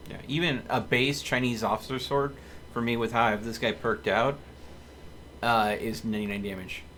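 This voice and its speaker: A man's voice: medium pitch, fairly even intonation, moderate pace, fairly bright tone. A man giving casual live commentary as he streams a video game. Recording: faint background noise.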